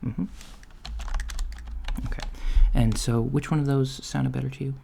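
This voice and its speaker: A soft-spoken man playing a polite job interviewer in an improvised ASMR roleplay, talking in a halting, hesitant way. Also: medium pitch, vocal fry, breathy edge. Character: polite, businesslike alien-store manager interviewing a job applicant. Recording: lo-fi with steady background noise. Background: computer keyboard typing.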